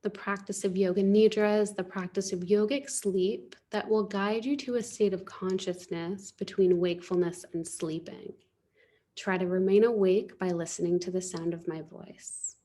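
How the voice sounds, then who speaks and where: A woman teaching yoga nidra, guiding a relaxation meditation in a soothing, unhurried way. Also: mid-range voice, steady tone, warm tone.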